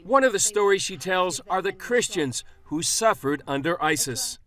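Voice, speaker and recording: A man narrating a television news report in a measured, even way. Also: sits high. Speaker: news correspondent.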